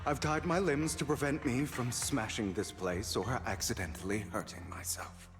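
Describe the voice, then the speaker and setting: A man voicing a proud, composed young man in a dramatic video game, with measured, theatrical delivery. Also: medium pitch, close to an everyday voice. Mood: resolute. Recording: music behind the voice.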